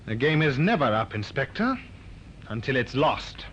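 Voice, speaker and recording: An actor playing a haughty, indignant doctor who denies murder in clipped tones in a 1950s radio crime drama. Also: male voice, mid-range voice, very animated, normal speed, lightly acted. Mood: defiant, scornful. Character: defiant, tight-lipped doctor accused of murder. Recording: muffled, noisy 1950s radio recording.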